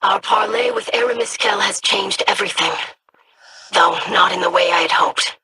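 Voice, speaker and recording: A woman voicing a measured, studious young alien scholar in a video game. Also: medium pitch, crowd noise, close to an everyday voice. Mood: thoughtful. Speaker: researcher.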